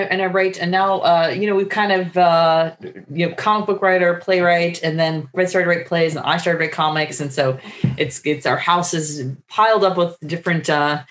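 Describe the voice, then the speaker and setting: Rambling woman, interview guest. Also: sits low. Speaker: guest, playwright. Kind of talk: casual interview chat. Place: home room with bookshelves, video call.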